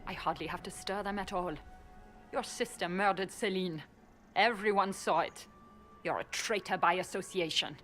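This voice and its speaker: An actress playing a defiant, cunning elven rebel in a fantasy game, cool and sardonic. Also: female voice, mid-range voice, moderate pace, fairly bright tone, soft background music, natural voice. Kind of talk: fantasy video game acting.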